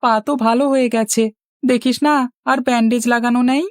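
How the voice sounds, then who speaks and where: A woman plays a stubborn little village boy from a kids' cartoon. The voice is high and youthful, full of cocky banter and boasting, in a dry, close recording. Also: steady tone, a little fast, no echo, close to an everyday voice. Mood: cocky, teasing. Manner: cocky, bantering back-talk. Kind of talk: children's cartoon dialogue.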